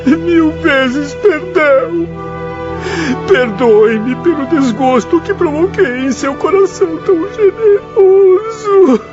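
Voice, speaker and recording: An actor playing a grief-stricken, remorseful former professor and spirit in a radio drama, crying and pleading in literary speech. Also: male voice, very high-pitched, takes it slowly. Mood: sobbing, pleading. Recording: dull sound with music behind.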